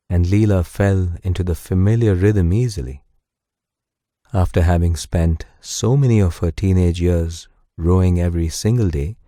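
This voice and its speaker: A man narrating a guided sleep meditation and bedtime story in a soothing, gentle, unhurried way, close on the microphone. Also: deep voice, no echo. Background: soft music.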